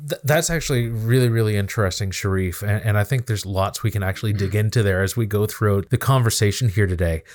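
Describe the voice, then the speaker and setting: A man's voice: on the low side, fast talker, few pauses. A man hosting an interview podcast, introducing his guest and asking questions in an enthusiastic, polished way. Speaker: podcast host.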